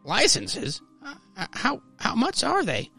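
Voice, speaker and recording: A man's voice: very high-pitched, wildly swooping pitch, slow pace, creaky, pushed and bright, faint music behind, pitched above the performer's own voice, full character voice. A man voicing a poor, meek, worried old man in a radio play style comic reading.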